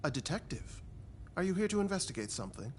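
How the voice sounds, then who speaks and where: A man's voice: high-pitched, big pitch swings, fast. A man voicing a friendly, gossipy servant in a cartoon video game, chatty, confiding and folksy. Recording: clear ambient noise behind.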